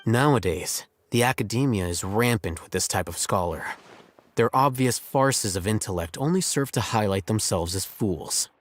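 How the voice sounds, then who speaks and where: A man voicing an aloof young scholar who speaks in a measured, dry, cutting way. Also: fairly low pitch, animated, slight background noise, lightly acted. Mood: sardonic. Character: aloof male scholar, cool and condescending. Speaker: scholar, scribe.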